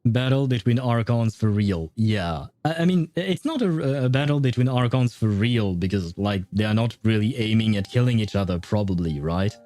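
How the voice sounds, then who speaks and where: A man's voice: lowish voice, expressive, normal speed, few pauses, mellow, no echo. A man giving casual live commentary as a game streamer, often hesitating as he searches for words. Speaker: game livestreamer.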